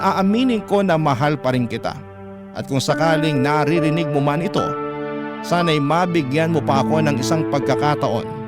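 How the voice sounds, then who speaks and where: Man, Filipino accent, higher-pitched voice, emotional first-person story narration, earnest, sentimental, loud instrumental music underneath. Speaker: radio story narrator reading a listener's letter. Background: prominent instrumental music.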